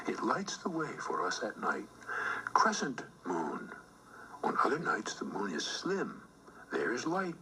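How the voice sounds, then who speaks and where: A man reading a children's book aloud in theatrical, deliberate scripted narration. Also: fairly low pitch, pushed and bright, slight background noise, poor audio.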